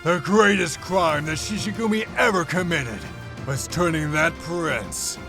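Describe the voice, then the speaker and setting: A man voicing a loyal, resigned lion gangster in a dramatic dub, with deliberate, theatrical delivery. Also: on the high side, vocal fry. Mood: wry resignation. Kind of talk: dramatic fan dub over music. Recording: loud music under the voice.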